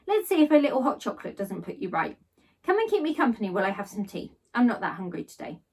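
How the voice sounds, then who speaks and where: A woman voicing a caring, gently concerned mother in a children's storybook, with a lilting, questioning manner. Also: on the high side, sing-song, quick pace, slightly rough, full character voice. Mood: affectionate.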